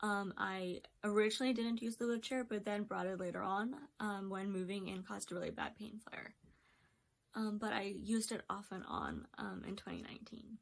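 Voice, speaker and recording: A young woman in her twenties chats through her recent years in a loose, unscripted way. She meanders from one thought to the next and keeps filling the gaps with um and like. Her pitch sits in an ordinary middle range for a woman. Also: animated, normal speed, gravelly. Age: 20s. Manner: rambling, many ums and likes. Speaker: vlogger. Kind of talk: casual personal recap.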